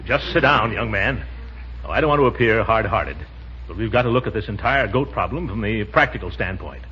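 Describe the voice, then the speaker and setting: An actor playing a pompous, flustered but soft-hearted middle-aged uncle in a 1940s radio comedy, stammering and chuckling. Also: male voice, on the low side, animated, a little fast, natural voice. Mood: blustery, lecturing. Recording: muffled, noisy 1940s radio broadcast.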